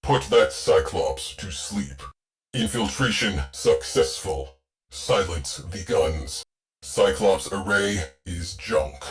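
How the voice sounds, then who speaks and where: A man playing a terse, stoic military ship AI in a video game, giving mission briefings in a clipped, deadpan, commanding way. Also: low-pitched, takes it slowly, soft background music. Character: terse, stoic ship AI, male military tactician with dry wit.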